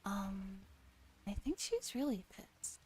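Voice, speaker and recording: Mellow woman streamer, soft ASMR read-aloud. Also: medium pitch, very animated, takes it slowly, slightly rough. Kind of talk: relaxed ASMR visual novel read-aloud. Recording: music behind the voice. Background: soft background music.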